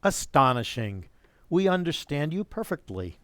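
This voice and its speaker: A man's voice: fairly high pitch, big pitch swings, measured pace, fairly bright tone, dry recording. A man playing a ceremonious, authoritative official who chairs a world assembly in a radio play. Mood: formal, curious.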